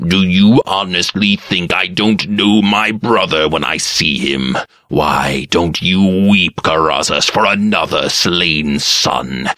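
A man voicing a cruel aristocratic gentleman who taunts with slow, cheerful menace. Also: sits low, dry recording, heavily acted. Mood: gloating.

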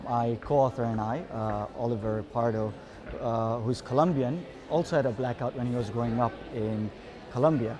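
Man, from Tanzania, researcher, relaxed, crowd noise. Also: mid-range voice, normal speed. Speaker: economics researcher. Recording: chattering crowd behind.

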